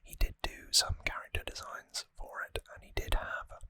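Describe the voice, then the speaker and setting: ASMR host: fully whispered, bright and breathy delivery, musing trivia commentary, close dry mic. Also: moderate pace, no echo. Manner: musing, conversational trivia talk. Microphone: close microphone, dry sound.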